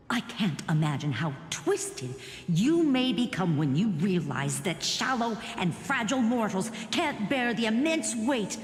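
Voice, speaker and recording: A stern yet sorrowful priestess from a fantasy game. Her speech is slow and stately, rising and falling dramatically with accusation. A cavernous hall echoes around her. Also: fairly low pitch, a little hiss or hum. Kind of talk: theatrical fantasy game voice acting.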